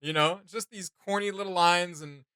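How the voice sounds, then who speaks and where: A man's voice: high-pitched, fairly even intonation, bright, forceful tone, smooth, dry recording. A man giving casual, opinionated reaction commentary as a host, emphatic and informal, often circling back over his words. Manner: emphatic, halting and repetitive, informal.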